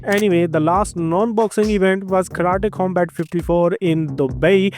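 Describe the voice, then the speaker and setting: A man with an Indian accent hosting a fight sports news roundup, delivering scripted highlights briskly. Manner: brisk, energetic delivery with frequent filler transitions. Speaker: host of a combat sports news channel. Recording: faint music behind the voice.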